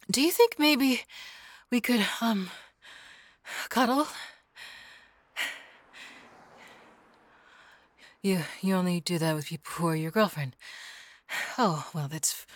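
A woman's voice: lowish voice, husky, no echo, studio quality. A woman voicing a clingy, obsessive young woman in love with her coworker, stammering and often dropping to a whisper. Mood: giddy. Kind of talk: audio roleplay acting.

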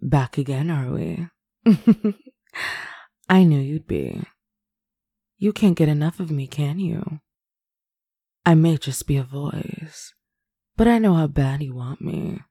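A woman speaking an intimate roleplay in a teasing, commanding, sultry manner, close to the mic and often dropping into breathy whispers. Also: low-pitched, sing-song, slow pace, pauses often, mellow, dry recording. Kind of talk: intimate adult roleplay audio.

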